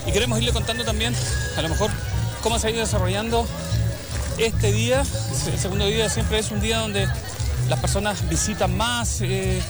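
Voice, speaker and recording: A man's voice: high-pitched, fairly even intonation, a little fast. A Chilean man reporting live and chatting with visitors at an outdoor food festival. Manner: friendly, chatty roving reporter. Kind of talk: on-the-street interviews. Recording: loud live music and crowd noise. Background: loud music and crowd.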